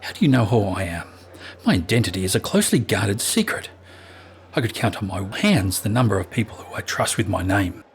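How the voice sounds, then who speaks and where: A man's voice: on the low side, expressive, fairly quick, slight background noise, close to an everyday voice. A man acting a wary, menacing thief and gang leader with formal, guarded speech in a fantasy audio drama. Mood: wary, stern.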